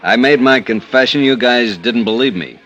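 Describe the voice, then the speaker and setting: An American actor playing a stubborn, evasive supper club manager in a 1950s radio detective drama. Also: male voice, medium pitch, normal speed, fairly bright tone, close to an everyday voice. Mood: curt, guarded. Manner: curt, evasive, defensive. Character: guarded, stubborn male supper club manager hiding something.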